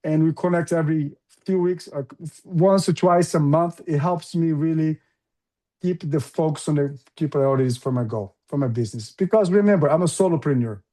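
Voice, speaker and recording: A man's voice: on the high side, normal speed, warm tone. A middle-aged man from Brazil with a Brazilian accent, speaking as an interview guest in an enthusiastic, conversational way with frequent fillers. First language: Portuguese. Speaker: guest, recruiting business founder.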